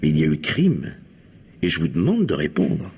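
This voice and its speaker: A man's voice: very deep voice, huge pitch swings, normal speed, darkish tone, husky, heavily acted. A man acting a courteous but persistent police commissioner in a vintage French radio mystery, easing into questions with hesitations, then insisting. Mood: insistent.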